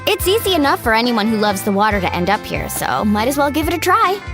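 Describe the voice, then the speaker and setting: High, breezy young water-lover. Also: female voice, sing-song, quick pace, music in the background. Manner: breezy, upbeat. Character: sunny, easygoing young woman who loves the water. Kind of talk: animated fantasy game acting.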